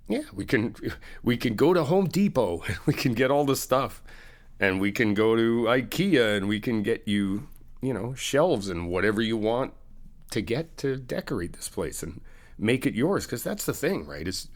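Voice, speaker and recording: A young man in an ASMR comfort roleplay, talking to the listener as a reassuring friend in a chatty way with soft laughs. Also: medium pitch, sing-song, normal speed.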